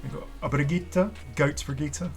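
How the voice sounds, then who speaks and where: A man's voice: on the high side, fairly even intonation, normal speed, soft background music. A man giving casual, off-the-cuff video commentary as a gaming host, rambling and hesitant with apologetic asides.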